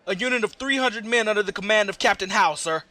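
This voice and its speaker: A man playing a brisk, suspicious military captain in an audio drama, reporting in a clipped, formal way. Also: sits high, bright, forceful tone. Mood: dutiful, urgent. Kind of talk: scripted audio drama acting.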